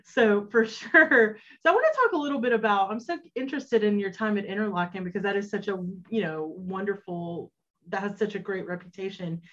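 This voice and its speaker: A woman's voice: medium pitch, fairly quick, a bit bright. Chatty woman radio host, dull sound. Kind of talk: radio interview.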